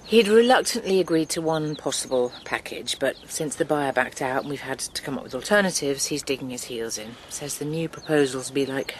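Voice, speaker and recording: A woman's voice: very deep voice, lively intonation, fairly quick, hardly any pauses, a little hiss or hum. An actress playing a weary, conciliatory woman from a farming family, earnest and rueful, in a naturalistic radio soap.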